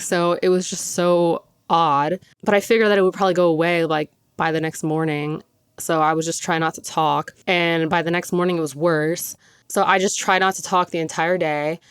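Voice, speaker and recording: A woman's voice: lowish voice, clean tone, no room sound, studio quality. A young woman hosting her own podcast, chatting casually and gushing, with lots of "like". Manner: chatty and gushing, heavy use of "like". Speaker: podcast host. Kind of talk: casual solo podcast chat.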